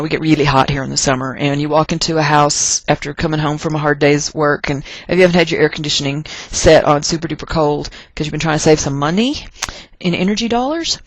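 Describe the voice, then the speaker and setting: Casting: American science teacher from Texas, medium pitch, folksy and emphatic lecturer, dry recording. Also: dry recording, poor audio. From Texas, United States. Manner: emphatic, deliberate stress and repetition of key points; folksy, joking asides. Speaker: chemistry teacher. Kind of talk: lecture narrated over class notes.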